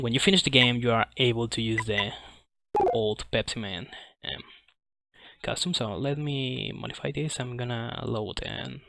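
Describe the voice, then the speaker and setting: A man who speaks English as a second language, giving casual live speedrun commentary, halting as he searches for words. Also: medium pitch, vocal fry, bright, forceful tone, no echo. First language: not English. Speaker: speedrunner presenting a game at a streamed marathon.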